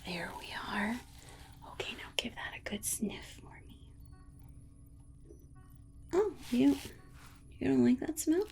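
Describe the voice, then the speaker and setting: A woman's voice: on the high side, big pitch swings, takes it slowly, gravelly, pauses often, over music. A woman voicing a soothing, condescending female alien scientist in a whispered ASMR exam roleplay, coddling and reassuring with murmured asides.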